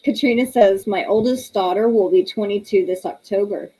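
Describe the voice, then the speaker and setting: A woman's voice: medium pitch, moderate pace, mellow, slightly rough, low-quality recording. A woman, hosting a casual livestream, chatty and laughing often as she reads viewer comments aloud. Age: 30s. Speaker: livestream host.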